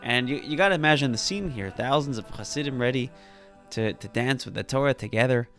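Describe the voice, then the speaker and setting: A man, a rabbi, giving an earnest, emphatic teaching that rises to animated peaks. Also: mid-range voice, brisk, faint music behind. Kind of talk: sermon-style teaching.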